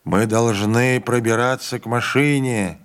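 A man voicing an older train conductor who speaks quietly, slowly and firmly with calm dignity. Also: medium pitch, natural voice. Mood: calm grave.

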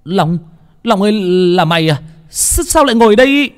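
A man's voice: medium pitch, sing-song, moderate pace, mellow, husky. A man voicing a frightened village boy in a horror story, stammering and urgent. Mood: shocked. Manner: stammering, urgent, breathless outbursts.

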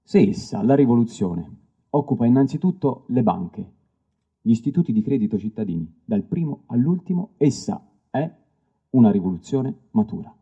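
A man giving a dramatic literary reading, declamatory and deliberate, with weighty pauses. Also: lively intonation, mellow, husky. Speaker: stage reader of literary texts. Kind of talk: dramatic literary reading over live music. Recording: dull lo-fi recording, plucked bass music behind. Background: live stick bass music.

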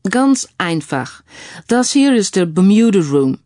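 A woman voicing a calm male IT security expert who explains things in a mildly schoolmasterly way, mixing English phrases into the talk. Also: medium pitch, slow, dry recording, clean studio sound. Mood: patient, explaining. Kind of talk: audiobook character dialogue.

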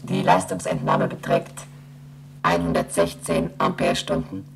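A woman's voice: extremely low pitch, big pitch swings, measured pace, vocal fry, slight background noise, exaggerated voice. A woman playing an emotionless lunar station computer in a radio play, reciting formal status reports with precise diction. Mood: flat, procedural.

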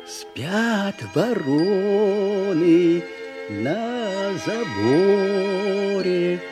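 An actor playing a gentle, weary old peasant husband in a Russian audio fairy tale, with a fond, sighing, expressive delivery. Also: male voice, sits high, very slow, heavily acted. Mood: tender. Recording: studio radio play, prominent music behind.

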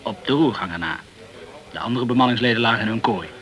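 A man's voice: mid-range voice, fast talker, a bit bright, close to an everyday voice. A man playing a polite, chatty journalist, peppering his talk with words, in a radio play. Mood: grave, informative. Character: polite, talkative French journalist in the tropical West Indies. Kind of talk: Dutch radio play acting.

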